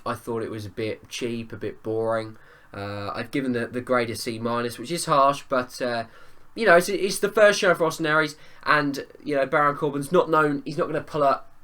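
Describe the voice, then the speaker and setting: Hoarse man giving an excited review. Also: mid-range voice. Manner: enthusiastic, opinionated, rambling. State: hoarse from a cold. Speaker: wrestling show reviewer. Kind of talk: casual spoken review vlog.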